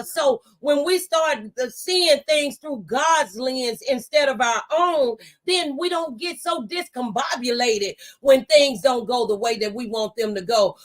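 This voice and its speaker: A middle-aged woman giving a motivational faith testimony, speaking in an emphatic, exhorting way and repeating phrases for effect. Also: high-pitched. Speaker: talk show panelist giving testimony.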